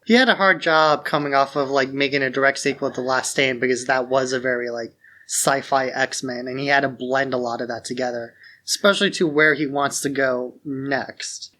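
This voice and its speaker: A man's voice: mid-range voice, fairly even intonation, normal speed, pushed and bright. A man co-hosting a podcast, chatting casually about films and giving his opinions. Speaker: podcast co-host. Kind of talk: casual film discussion.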